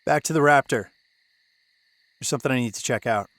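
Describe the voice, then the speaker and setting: An actor playing a broken-down, haunted radio DJ in a horror audio drama, hesitant and defensive. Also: male voice, mid-range voice, brisk, very noisy, close to an everyday voice. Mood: irritated. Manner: hesitant, guarded.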